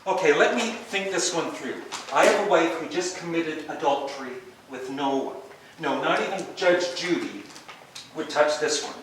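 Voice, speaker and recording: A man's voice: fairly high pitch, expressive, moderate pace, a bit bright. A man rehearsing a stage play as a bewildered, exasperated middle-aged husband, theatrical and swinging between muttered disbelief and indignant outbursts. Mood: fed up. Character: exasperated, bewildered husband jealous of his wife's fantasy lover. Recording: distant, echoey room with background noise.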